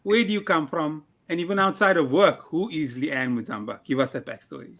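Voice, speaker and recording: A man's voice: a little high, brisk. Upbeat young man hosting a podcast. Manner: upbeat, scripted intro then relaxed questioning. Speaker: podcast host.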